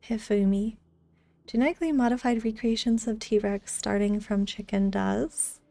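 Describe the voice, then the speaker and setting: A woman's voice: mid-range voice, fairly even intonation, moderate pace, creaky, warm tone. A woman live streamer chatting casually and in a friendly way with her viewers while gaming. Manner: friendly, greets viewers by name. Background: game music.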